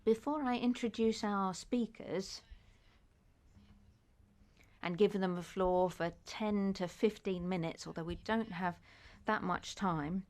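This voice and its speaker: Woman interpreter, unhurried, halting delivery, mid-pitched. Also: darkish tone. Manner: measured, with frequent hesitations. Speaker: conference interpreter. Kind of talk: simultaneous interpretation of a speech.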